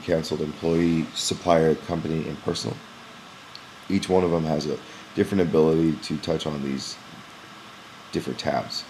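A young man who runs a gym, walking through a software screen recording in a casual, explanatory way with frequent "um" pauses, recorded on a webcam at his desk. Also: extremely low pitch, a little hiss or hum, rough recording. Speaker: gym owner demonstrating software. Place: small indoor room at a computer. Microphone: webcam in front of the face.

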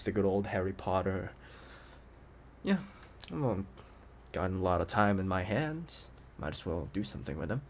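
A man playing an obsessive, playful young intruder in an ASMR roleplay, coaxing the listener like a doting owner, with hesitant pauses and hushed asides. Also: deep voice, a little hiss or hum.

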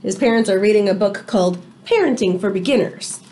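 A middle-aged woman, an elementary teacher, reading aloud to young children with expressive, chatty asides. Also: mid-range voice, a little fast, a little hiss or hum. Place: small indoor room.

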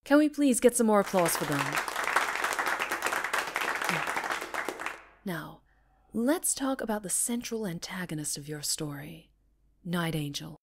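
A woman's voice: fairly low pitch, lively intonation, normal speed, a little mellow, some chatter behind, slight background noise. Woman acting a polished, reassuring TV show host. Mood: dramatic, probing. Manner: reassuring, articulate, showy presenter delivery. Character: polished, encouraging female TV talk show host. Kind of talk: scripted ASMR roleplay acting, live talk show.

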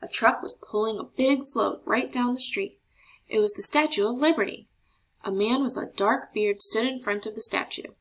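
A woman narrating a children's picture book aloud in an expressive storytelling style. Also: on the high side, normal speed, poor audio. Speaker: storybook reader.

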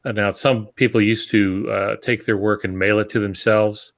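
An older man in his sixties explains things casually in a lowish voice. He speaks as an experienced panelist, pausing between phrases as he gives advice. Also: flat delivery. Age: 60s. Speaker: podcast co-host and published author. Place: home office, indoors. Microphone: earbud headset on video call.

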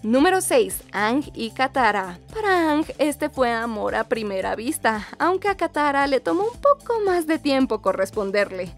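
A woman with a Latin American Spanish accent narrates a scripted video countdown. Her voice is fairly high, with light music playing behind it. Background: background music.

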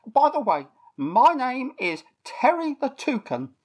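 A woman voicing a chatty male toucan with a squawky, excitable voice that repeats its phrases. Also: sits high, slow, husky, pitched above the performer's own voice, heavily acted. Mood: relieved.

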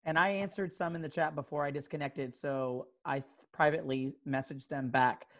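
A young man with a gravelly, creaky voice talks into a headset on a video call. He is casual, handling housekeeping as the session organizer. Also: fairly high pitch, steady tone, normal speed, fairly bright tone. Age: young adult. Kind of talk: casual meeting wrap-up talk. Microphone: headset mic on a video call.

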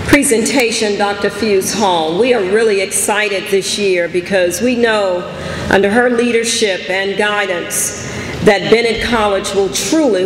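Woman moderator in a noisy, echoey hall. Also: medium pitch. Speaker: event moderator. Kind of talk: formal event hosting. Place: large chapel, indoors. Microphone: podium microphone. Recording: echoey hall, clear background noise.

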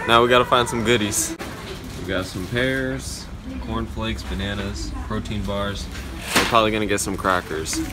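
A man's voice: fairly low pitch, steady tone, normal speed, loud music behind the voice. A young man chatting upbeat to his viewers while he films himself on a phone held at arm's length, walking around a city. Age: young adult. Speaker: vlogger. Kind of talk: casual travel vlog narration. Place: streets and shops of a city, often outdoors in rain. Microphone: phone camera at arm's length. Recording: phone audio with loud street noise.

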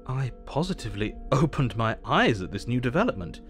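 An actor playing an earnest asylum doctor narrating his diary in measured, formal English. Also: medium pitch, sing-song, soft background music, close to an everyday voice. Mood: astonished, reflective.

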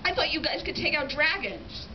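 A woman's voice: high voice, lively intonation, moderate pace, pitched above the performer's own voice. A woman voicing a wry, wary, streetwise young woman with a sarcastic manner in a story reading. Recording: distant, noisy, muffled audience camera recording.

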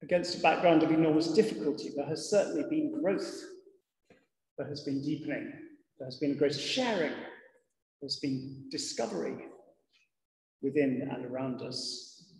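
A middle-aged man preaches as a vicar, his voice fairly high for a man. He speaks in a measured, reflective way with deliberate pauses. The sound comes from a lectern mic in a large church, with a long reverb around it. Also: darkish tone. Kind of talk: sermon to a congregation. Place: large church interior, indoors. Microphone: lectern gooseneck microphone.

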